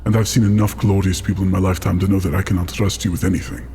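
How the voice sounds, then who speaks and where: A man voicing a proud, bitter ifrit genie in a tabletop game, speaking in a measured, stern way. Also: low-pitched, level tone, warm tone, soft background music, close to an everyday voice. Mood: distrustful. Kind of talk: improvised tabletop roleplay.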